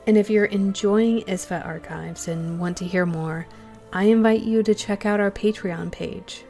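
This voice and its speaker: A woman's voice: mid-range voice, steady tone, unhurried, dark tone, faint music behind. A woman delivering a scripted thank-you announcement to podcast listeners as a member of the cast.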